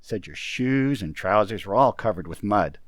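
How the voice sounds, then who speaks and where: A man playing a brisk, practical, insistent business associate in an old-time radio drama. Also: lowish voice, animated, no room sound, clean studio sound, natural voice. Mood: brisk, concerned. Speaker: amateur community theater actor.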